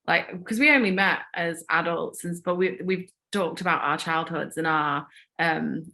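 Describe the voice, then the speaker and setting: Woman from yorkshire, really low but bright voice, friendly and a bit self-mocking. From Yorkshire, England. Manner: friendly, self-deprecating, laughing lightly. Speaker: musician. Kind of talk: casual interview answers.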